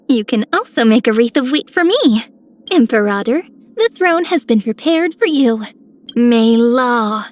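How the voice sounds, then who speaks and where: An actress playing an eager, admiring young game heroine who speaks with formal flourishes. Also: female voice, fairly high pitch, darkish tone, lightly acted. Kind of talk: scripted game character lines.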